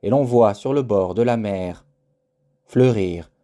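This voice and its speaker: A man reciting literary poetry in a solemn, measured manner with deliberate pauses. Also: fairly low pitch, dark tone. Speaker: poetry reader.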